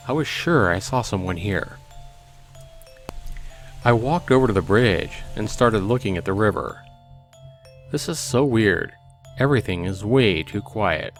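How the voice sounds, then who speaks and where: Man, lowish pitch, let's play host, expressive visual novel narration, casual asides, game music behind.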